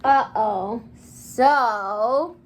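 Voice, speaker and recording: A woman kindergarten teacher giving an interactive read-aloud, speaking expressively and encouragingly in simple phrases, with questions and pauses for children to answer. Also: a little high.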